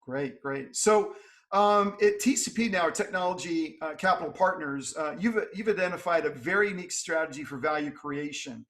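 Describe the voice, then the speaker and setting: A middle-aged man hosting an interview over a video call, upbeat and filling pauses with "uh". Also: a little high, fairly bright tone. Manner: upbeat, many "uh" fillers. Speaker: podcast host. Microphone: webcam on video call.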